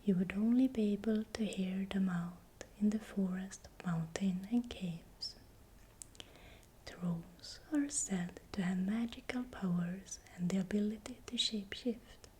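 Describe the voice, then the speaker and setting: Slow whispering ASMR woman. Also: mid-range voice, a little hiss or hum. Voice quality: breathy unvoiced whisper. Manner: slow, deliberate, repeats words softly. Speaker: ASMR creator narrating folklore. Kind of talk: whispered ASMR narration of folklore.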